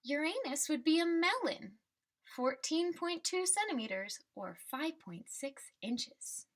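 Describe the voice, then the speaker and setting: A woman reading a children's picture book aloud and explaining it simply, in a deliberate teaching manner. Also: high-pitched, big pitch swings.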